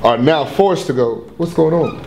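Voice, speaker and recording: A man's voice: a little high, lively intonation, moderate pace, darkish tone. A male stand-up comedian in a casual group chat, explaining at length in a rambling way and laughing often. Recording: roomy echo.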